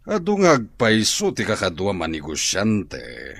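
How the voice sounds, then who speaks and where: A man's voice: on the low side, wildly swooping pitch, a little slow, creaky, fairly bright tone, no echo, exaggerated voice. A man playing a proud, boastful wealthy father in an audio drama. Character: proud, approving wealthy father of a grown daughter. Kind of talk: melodramatic audio drama acting.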